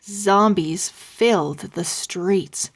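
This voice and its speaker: A woman reading a children's book aloud as host, with deliberate, theatrical, expressive narration. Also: medium pitch.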